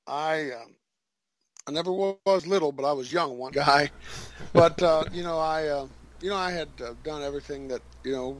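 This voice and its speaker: Kentucky man in his forties, radio guest, earnest and halting with a slightly high voice. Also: low-quality recording. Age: 40s. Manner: earnest, halting, many fillers and restarts. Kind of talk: personal story in a radio interview.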